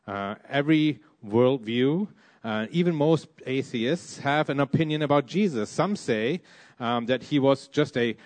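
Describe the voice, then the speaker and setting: A man's voice: medium pitch, a little mellow. Hesitant Malaysian man preaching a sermon. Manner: hesitant, many "uh" and "um" fillers.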